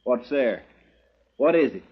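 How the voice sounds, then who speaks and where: An American actor with a rural drawl playing a folksy, deferential frontier deputy in a 1950s radio drama. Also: male voice, medium pitch, animated, takes it slowly, breathy edge. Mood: uneasy. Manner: folksy, respectful, plain-spoken. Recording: narrow, tinny old radio recording.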